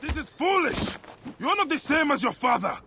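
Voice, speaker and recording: An actor playing a stern, steady ancient Egyptian warrior and protector in a video game, speaking in a measured, solemn way. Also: male voice, extremely high pitch, gravelly, noisy background, heavily acted. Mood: urgent. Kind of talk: dramatic video game acting. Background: loud music.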